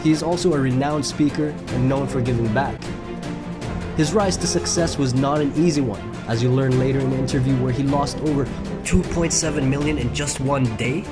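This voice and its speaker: A man's voice: mid-range voice, brisk. A man hosting an interview show, reading a scripted intro and then asking questions with some hesitant fillers. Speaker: host. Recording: music clearly behind the voice.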